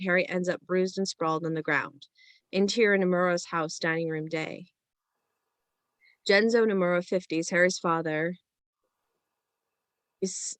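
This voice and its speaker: A woman's voice: fairly low pitch, a bit bright, no echo. A woman reading screenplay stage directions as scripted present-tense narration.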